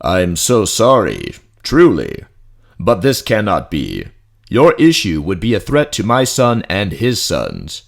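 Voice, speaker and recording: A man's voice: low-pitched, wildly swooping pitch, slow pace, gravelly, exaggerated voice. A man voicing a pompous, commanding emperor with a short temper in a fantasy audiobook drama. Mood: cold, imperious.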